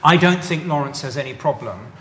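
A man's voice: a little high, expressive, brisk, bright, forceful tone. A man answering audience questions after a talk, thoughtful and hesitant, often repeating words as he searches for them. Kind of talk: lecture Q&A.

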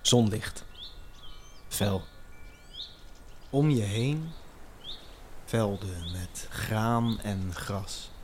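A man narrating an audio drama in scripted, suspenseful short phrases with long pauses. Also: fairly low pitch, expressive, background noise. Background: music.

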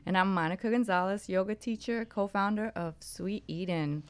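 A woman's voice: mid-range voice, moderate pace, smooth. A woman co-hosting a talk radio show in a casual, conversational style.

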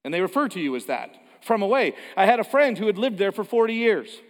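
A pastor from Canada giving a sermon in a conversational way, with joking asides and frequent restarts. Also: male voice, sits high, big pitch swings, quick pace. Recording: long hall reverb.

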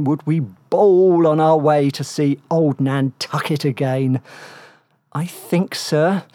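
A woman's voice: low voice, dark tone, dry recording, clean studio sound, pitched below the performer's own voice. A woman voicing a loyal, homesick male first mate who implores earnestly in archaic phrasing, in an audiobook. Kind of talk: dramatic audiobook reading.

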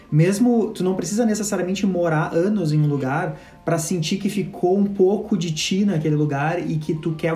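A man with a mellow, dark voice, musing at length in a thoughtful way. The room is echoey, with faint music underneath. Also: fairly high pitch. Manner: reflective, talks in long stretches. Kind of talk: casual conversational chat.

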